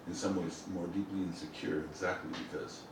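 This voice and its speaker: A man whose first language is Spanish, an author talking thoughtfully about his book in a halting, self-correcting way. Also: deep voice, little pitch movement. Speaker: author speaking at a book event. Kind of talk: reflective informal talk. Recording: echoey room from a distant mic, noisy.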